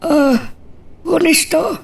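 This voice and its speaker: A man playing a fond, slow-talking father in an audio drama, with an Ilocano accent. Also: extremely high pitch, gravelly, recorded from a distance, exaggerated voice. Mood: fond, curious. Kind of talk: amateur audio drama acting.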